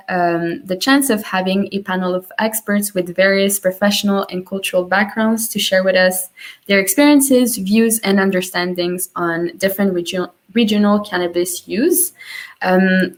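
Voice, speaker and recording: A woman from Colombia in her twenties, hosting an online panel over a video call, with many fillers and restarts. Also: medium pitch. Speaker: panel moderator.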